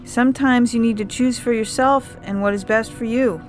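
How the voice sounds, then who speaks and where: A woman voicing a gentle, avoidant young man in an audio fiction story. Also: on the high side, steady tone, normal speed, faint music behind, pitched above the performer's own voice, natural voice. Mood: resigned, reassuring.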